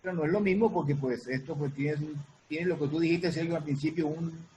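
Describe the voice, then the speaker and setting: Noisy recording of a halting man. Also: on the high side, steady tone, a little mellow. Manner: halting, repeats and reformulates. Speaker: guest, music producer and sound designer. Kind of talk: casual explanation in an interview.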